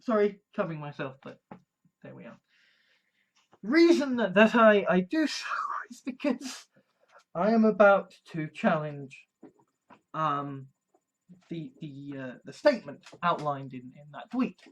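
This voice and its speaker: A man from England, giving a measured, deliberate monologue full of restarts and fillers. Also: sits high, big pitch swings, rough recording. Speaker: video commentator. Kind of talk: casual monologue.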